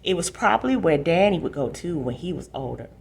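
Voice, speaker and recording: A woman's voice: low-pitched, big pitch swings, a little fast, creaky, a little mellow, slightly rough. A woman hosting a book session for tweens, talking casually and reading aloud. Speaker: host, library book presenter.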